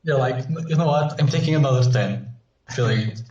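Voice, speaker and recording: A man with a medium-pitched voice talks sports casually, with a conversational, wry manner. He sounds a bit far from the mic in a roomy, dull recording. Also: a little fast, noisy background. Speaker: podcast panellist.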